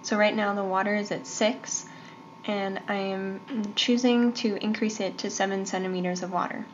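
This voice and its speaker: A woman's voice: mid-range voice, little pitch movement, normal speed. A woman giving a step-by-step instructional demonstration of medical equipment. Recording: dull lo-fi sound, faint noise.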